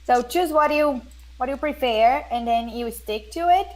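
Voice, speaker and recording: Slightly high-voiced woman giving friendly advice. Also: a little slow. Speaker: English teacher, podcast guest. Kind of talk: casual chat giving learners advice.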